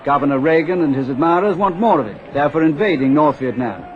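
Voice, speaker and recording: Animated male reporter on dull archive recording. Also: on the high side, fast. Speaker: news reporter. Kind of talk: scripted television news report.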